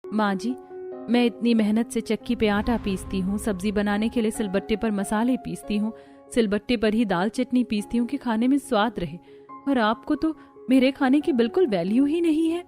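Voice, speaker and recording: Woman as dutiful, earnest young wife, highish voice. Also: moderate pace, dark tone, lightly acted. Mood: hurt. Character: dutiful, hurt elder daughter-in-law. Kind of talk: Hindi cartoon story dialogue. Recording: music behind the voice.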